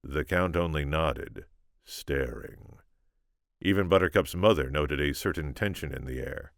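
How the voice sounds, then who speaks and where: A man reading an audiobook, telling the story in a measured, dramatic way. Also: rumbling low, expressive, vocal fry, no echo, studio quality. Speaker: audiobook reader. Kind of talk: scripted story narration with informal asides.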